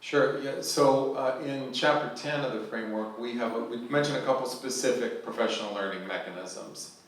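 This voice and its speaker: A man speaking on a panel in a measured, explanatory way. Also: medium pitch, slight background noise. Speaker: panelist, professional learning leader. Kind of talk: panel discussion. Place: large echoey event hall. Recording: echoey hall, mic far off.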